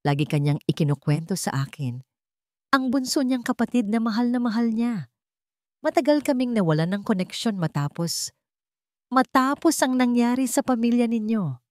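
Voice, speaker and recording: A woman with a Filipino accent voicing a tender, loyal, quietly resolute wronged wife in a story, speaking sincerely. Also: a little high, lively intonation, moderate pace, no echo, studio quality, pitched above the performer's own voice, lightly acted. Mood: quiet sadness. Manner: soft-spoken, sincere.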